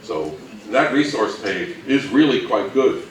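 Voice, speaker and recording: A man's voice: mid-range voice, expressive, unhurried, slight background noise. A man giving an informal presentation to an event audience, with a dry, joking, self-mocking manner. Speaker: panel presenter. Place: indoor event room, recorded from a distance. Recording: distant, echoey room with audience.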